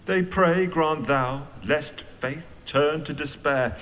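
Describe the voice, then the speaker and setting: A grand, lovestruck king, played by a man declaims theatrical verse very deliberately. The voice rings in a long hall reverb. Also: a little high, fairly bright tone, slight background noise. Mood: declaiming. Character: aristocrat playing a lovestruck stage king, declaiming.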